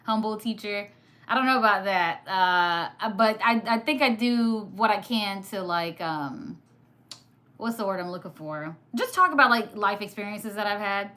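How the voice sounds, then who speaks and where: A woman from Sudan whose first language was Arabic, hosting a casual live stream, chatty and quick to laugh while reading comments. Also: mid-range voice, animated, pushed and bright. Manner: chatty, laughs often, teasing. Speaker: livestream host.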